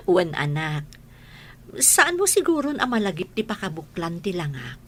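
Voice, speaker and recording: A woman playing a gentle, patient mother in a radio drama, with an Ilocano accent. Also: fairly low pitch, very animated, measured pace, slight background noise. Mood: tender. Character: gentle blind boy's mother, patient and reassuring.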